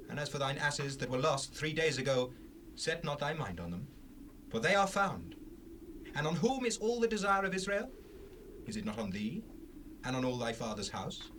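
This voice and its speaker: An actor playing a solemn old prophet in a dramatized scripture reading, declaiming in formal archaic speech. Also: male voice, on the high side, fairly bright tone. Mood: solemn, assured. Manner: declamatory, emphatic. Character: Samuel, solemn old prophet warning his people. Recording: hissy 1970s cassette rip.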